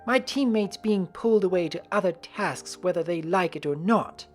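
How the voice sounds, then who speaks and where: A woman's voice: on the low side, moderate pace, faint music behind, close to an everyday voice. A woman narrating in the first person as an earnest adult pony mare who works the weather, reading fan fiction expressively. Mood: reflective.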